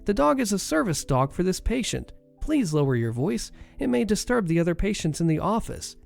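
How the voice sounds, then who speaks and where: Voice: mid-range voice, sing-song, a little fast, creaky, darkish tone, soft background music, voice pushed up. A storyteller voicing a polite female clinic receptionist who stays courteous and apologetic.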